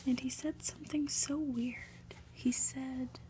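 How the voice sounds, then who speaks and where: A woman reads a storybook aloud for ASMR in a soft, breathy, gentle whisper, with deliberate, unhurried phrasing. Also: sits high, steady tone. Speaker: ASMR reader.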